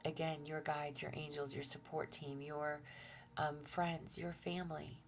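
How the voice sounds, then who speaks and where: A woman giving a gentle tarot reading, soft-spoken and reassuring, who often drops to a near-whisper and talks through a stuffy nose. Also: low-pitched, little pitch movement, measured pace, gravelly, soft background music. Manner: gentle and reassuring, with fillers and restarts. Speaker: tarot reader. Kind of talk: relaxed tarot reading to viewers.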